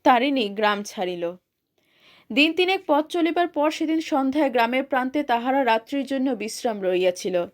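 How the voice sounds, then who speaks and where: A woman narrating a literary short story in a measured, formal reading style. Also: sits high, animated.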